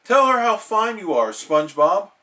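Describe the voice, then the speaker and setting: A man's voice: high-pitched, sing-song, normal speed, bright, forceful tone, pitched above the performer's own voice, exaggerated voice. A man voicing a dim, overconfident male cartoon starfish who plays at being a doctor, emphatic and self-important. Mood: insistent.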